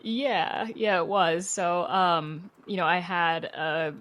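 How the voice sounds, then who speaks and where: A woman's voice: fairly low pitch, slow pace, fairly bright tone. A woman telling stories casually as an interview guest, candid and chatty, with lots of "you know" and "like". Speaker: podcast guest, horror writer. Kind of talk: casual conversational storytelling.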